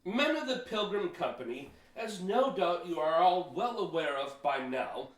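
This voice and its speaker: An actor playing an earnest, anxious Puritan elder in an audio play, speaking in formal, solemn, archaic phrases. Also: male voice, high voice, sing-song, unhurried. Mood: solemn, firm. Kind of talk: old-fashioned radio play acting. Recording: echoey, distant microphone, audio drama.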